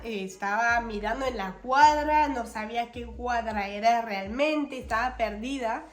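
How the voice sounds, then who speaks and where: A young woman in her twenties, speaking touches, chatting and telling a story to her followers. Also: sits high. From France. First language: French. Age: 20s. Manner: chatty, animated, many fillers. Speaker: vlogger. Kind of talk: casual storytelling to camera. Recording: echoey room with faint music.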